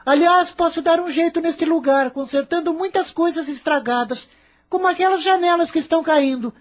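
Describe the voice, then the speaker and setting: A woman's voice: very high voice, normal speed. A woman playing a proud, bold teenage boy traveller in an audio drama, with a Brazilian Portuguese accent. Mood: eager, boastful. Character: cocky, determined, self-assured teenage boy traveller.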